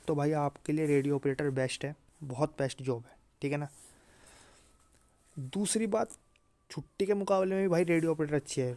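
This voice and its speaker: A man with a fairly high, slightly dark voice gives an informal explainer to students. He repeats key points for emphasis and keeps checking in with short tag phrases. The sound is close and dry. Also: no room sound. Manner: repetitive check-in tags, emphatic repetition. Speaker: exam-career video presenter.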